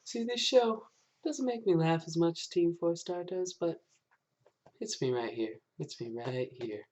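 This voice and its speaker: A man's voice: fairly high pitch, animated, measured pace, a little mellow. A man giving casual reaction commentary as a video host.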